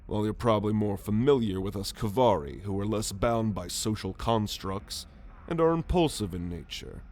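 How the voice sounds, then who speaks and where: A man voicing a proud, gruff alien military renegade in an ASMR roleplay, haughty and sardonic in a measured delivery. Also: on the low side, lively intonation, a little mellow, natural voice. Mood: haughty, explaining. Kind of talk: ASMR roleplay monologue to the listener.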